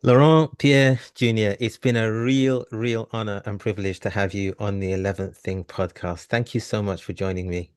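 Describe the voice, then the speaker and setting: A man's voice: on the low side, fairly even intonation, normal speed, few pauses, clear, resonant tone. A man hosting an interview podcast in a courteous, formal manner. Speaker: podcast host.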